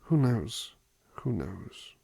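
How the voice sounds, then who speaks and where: A man playing a gentle, attentive butler in an ASMR roleplay, musing in a polite, hesitant, formal way into a close microphone. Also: on the low side, very animated, drawn-out words, vocal fry, breathy edge, no room sound, heavily acted. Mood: pensive. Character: gentle, musing, attentive male butler looking after someone. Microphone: close dry microphone.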